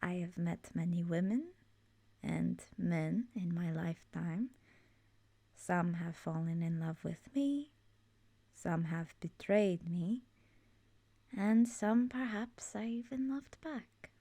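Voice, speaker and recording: A woman voicing an ancient, immortal swamp witch in an ASMR roleplay, soft-spoken and often whispery, gently knowing and wistful. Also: fairly low pitch, slow, gravelly, no echo, exaggerated voice. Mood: wistful, somber.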